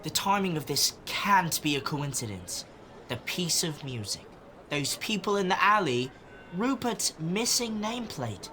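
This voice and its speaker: A woman's voice: on the low side, sing-song, unhurried, bright, forceful tone, a little hiss or hum, heavily acted. A woman playing a practical, questioning investigator. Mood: puzzled. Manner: questioning, matter-of-fact.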